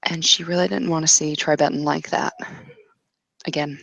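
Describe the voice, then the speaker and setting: A woman runs a tabletop roleplay with casual, improvised narration. Her voice is on the low side and gravelly with fry, and she thinks aloud hesitantly. The sound is dry. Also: steady tone, normal speed, a bit bright, no echo, rough recording. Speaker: game master narrating a roleplay.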